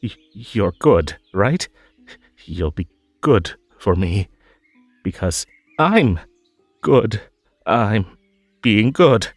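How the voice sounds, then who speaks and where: A man playing a possessive magical tea-party host in an audio roleplay, over-polite and halting, with a menacing, unhinged edge under the sweetness. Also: lowish voice, very animated, no echo, soft background music, full character voice. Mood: persuasive, obsessive. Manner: halting, drawn-out, over-polite, swinging between coaxing and stern. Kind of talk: scripted roleplay audio drama.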